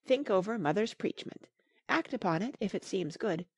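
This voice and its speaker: A loving older mother, voiced by a woman reader, husky and full of creak. She gives pious advice with lilting intonation, as a storybook character. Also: mid-range voice, animated, moderate pace, no room sound, pitched above the performer's own voice. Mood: tender.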